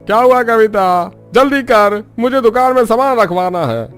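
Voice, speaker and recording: A man's voice: sits high, lively intonation, moderate pace, fairly bright tone, soft background music. An actor playing a grumpy, bossy village grocer in a cartoon story, a man who scolds but relents.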